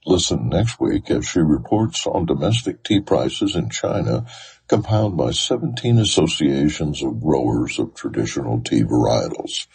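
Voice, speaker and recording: Deep voiced host guy, swoopy lively delivery, faint music under. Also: normal speed, gravelly, husky, rough recording. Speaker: podcast host. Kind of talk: scripted narration and floor interviews.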